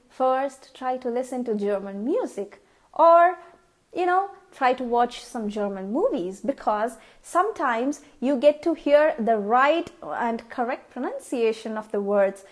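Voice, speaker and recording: A young woman from India with an Indian English accent, whose first language is Tamil, giving casual tips in a vlog and stopping often to act out how words sound. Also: on the high side. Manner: chatty, repeats words, acts out pronunciations. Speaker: vlogger.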